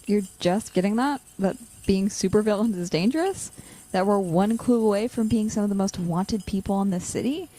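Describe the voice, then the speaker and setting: A woman's voice: mid-range voice, moderate pace, mellow, close to an everyday voice, poor audio. A young woman playing an anxious, sardonic college-student supervillain in a naturalistic audio drama. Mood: exasperated. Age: young adult.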